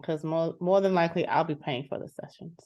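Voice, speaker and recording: A woman's voice: low voice, steady tone, a little fast, darkish tone, dull audio, close to an everyday voice. A woman playing a reserved, practical sister in a role-played therapy session, answering briefly and without fuss. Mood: matter-of-fact. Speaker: therapy client. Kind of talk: improvised role-play dialogue.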